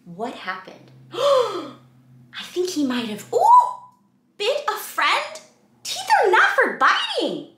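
Young woman, storytime host, slow-paced, very bright, expressive, addressing children, slight room echo. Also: a little high. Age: young adult. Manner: expressive, exaggerated, addressing children with questions and gasps. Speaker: children's read-aloud host. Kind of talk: interactive storytime read-aloud for young children. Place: small indoor room at a desk. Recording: roomy indoor echo.

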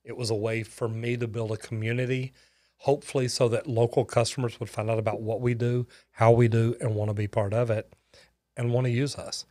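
A man's voice: medium pitch, little pitch movement, brisk, warm tone, dry recording, clean studio sound. Folksy older man hosting a livestream. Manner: folksy, thanks viewers by name. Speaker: host. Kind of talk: casual livestream sign-off. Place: studio with shelves. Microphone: boom mic close up.

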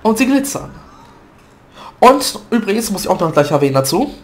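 A man with a stuffy nose from a cold, chatting loosely through gameplay commentary with fillers and self-corrections, recorded at a desk mic at home. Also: high-pitched. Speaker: gaming video commentator.

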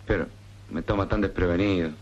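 An actor playing a streetwise Argentine tango singer with a Rioplatense accent, in a 1960s film comedy. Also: male voice, low-pitched, fairly quick, muffled recording, slight background noise, close to an everyday voice. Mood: wry. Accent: Rioplatense Spanish. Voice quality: lively, streetwise.

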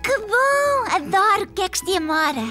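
A woman's voice: extremely high pitch, sing-song, a little slow, over music, heavily acted. A woman voicing a sweet young girl in a children's cartoon, with a European Portuguese accent. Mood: cheerful.

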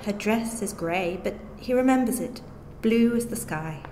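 A woman's voice: medium pitch, expressive, unhurried, dark tone. Woman reading a short story aloud, echoey room, faint noise behind. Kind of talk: scripted narration, live reading.

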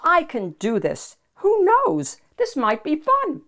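An older woman voicing a panicky, excitable male turkey from a children's storybook, with dramatic exclamations. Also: sits high, slow pace, voice pushed up, full character voice. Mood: nervous hope.

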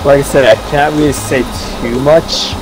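A man's voice: mid-range voice, fairly even intonation, moderate pace, over loud music, natural voice, poor audio. A man playing an evasive, mysterious almighty being in an improvised game roleplay, giving short cryptic answers. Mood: evasive, calm.

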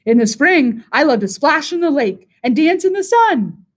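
Storybook bear acted by a woman: big, easygoing, affectionate, hearty and lively. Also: high-pitched, normal speed, voice pushed up.

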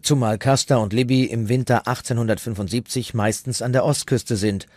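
A man's voice: medium pitch, steady tone, a little fast, a little mellow, no echo. A man reading scripted documentary narration as the narrator.

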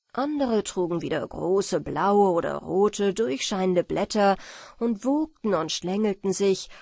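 Female audiobook narrator, fairy-tale style, unhurried, lively intonation, slightly husky. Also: medium pitch, no room sound, clean studio sound.

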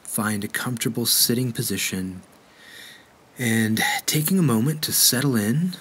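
A man leading a guided meditation in a gentle, unhurried way with measured pauses. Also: mid-range voice, breathy edge.

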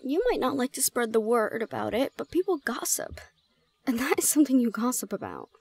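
A woman playing a shy, easily flustered young gorgon student in an audio roleplay, speaking in halting, exclamatory questions. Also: fairly high pitch, wildly swooping pitch, breathy edge, exaggerated voice. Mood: shocked. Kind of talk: audio roleplay drama acting.

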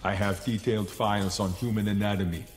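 A menacing humanoid robot from a video game, acted by a man, with a low male voice. The delivery is flat, clipped and mechanical. It rings in a big reverberant space with loud noise around it. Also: quick pace, natural voice. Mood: cold, flat. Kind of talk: video game character dialogue. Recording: long reverb, loud effects noise, clicks.

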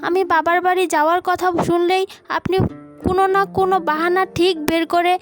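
An extremely high-voiced young village wife played by a woman, dutiful but put-upon. She speaks plaintively in a cartoon family drama, over clearly audible music. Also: flat delivery, brisk. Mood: pleading. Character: overworked, aggrieved young village daughter-in-law, dutiful.

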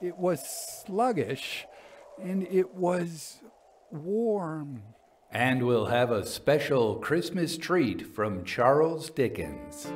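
Slow, expressive male ghost story narrator. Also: a little high, a little hiss or hum. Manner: suspenseful, deliberate, theatrical. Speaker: narrator, actor and author.

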